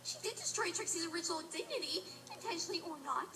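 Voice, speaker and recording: A woman's voice: very high-pitched, very animated, racing, heavy background noise, heavily acted. A woman voicing a proud, down-on-her-luck pony magician in an audio drama, grumbling theatrically in the third person. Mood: wistful, bitter.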